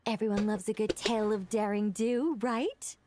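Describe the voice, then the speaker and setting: A woman voicing a modest, polite young lady sculptor in a video game, speaking with gentle hesitation. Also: mid-range voice, normal speed, lightly acted. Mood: modest, coy.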